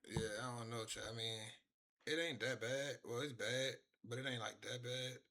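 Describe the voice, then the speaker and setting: A man's voice: mid-range voice, fairly even intonation, moderate pace, bright, forceful tone. A man in his twenties chatting casually on a live stream, rambling and repeating himself as he talks to his chat from his room. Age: 20s.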